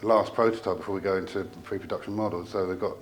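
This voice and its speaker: A man giving an informal technical product explanation as a company representative, in a hesitant, halting way with trailing asides. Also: low-pitched, steady tone.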